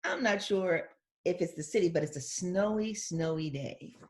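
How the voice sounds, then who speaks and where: Expressive woman reading a picture book. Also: on the low side, moderate pace, slight background noise. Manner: expressive, acts out sound words; conversational, explains pictures to young children. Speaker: children's picture book reader. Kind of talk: read-aloud storytelling with casual commentary.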